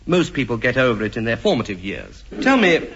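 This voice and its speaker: An actor playing an earnest, pompous doctor with formal, deliberate phrasing in a 1950s radio comedy. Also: male voice, mid-range voice, sing-song, a bit bright. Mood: grave, professorial. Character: earnest, pompous male doctor with a childish streak. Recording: noisy, dull old radio recording with clicks.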